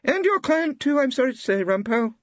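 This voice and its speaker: Spiteful, excitable male don for audiobook, squawky, very high, wildly animated. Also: fairly quick, dry recording, lo-fi sound. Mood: spiteful, positive. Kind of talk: audiobook character acting.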